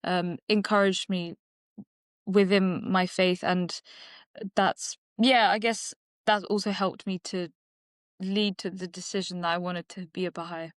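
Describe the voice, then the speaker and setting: Soft-spoken woman, smooth voice. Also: on the low side, fairly even intonation, measured pace, no echo, clean studio sound. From United Kingdom. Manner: reflective, many fillers and restarts. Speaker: guest, dentist and singer-songwriter. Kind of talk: conversational interview.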